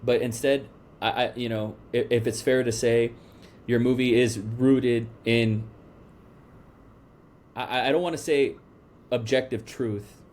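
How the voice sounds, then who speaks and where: A man's voice: mid-range voice, fairly even intonation, unhurried, long pauses, a little mellow, slight background noise. A man hosting a podcast interview, asking long questions with many restarts and fillers. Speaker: podcast host. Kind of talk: casual interview.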